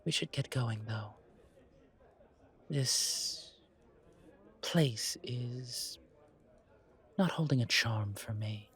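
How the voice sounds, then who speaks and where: A man voicing a pompous, disdainful vampire gentleman with fussy, formal speech in an audio roleplay. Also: mid-range voice, very slow, long pauses. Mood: reassuring. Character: pompous haughty male vampire gentleman, disdainful yet protective.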